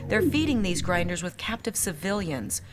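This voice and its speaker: An actress playing a composed military intelligence lieutenant, briefing crisply and formally. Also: female voice, on the low side, very animated, normal speed. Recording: clear background noise.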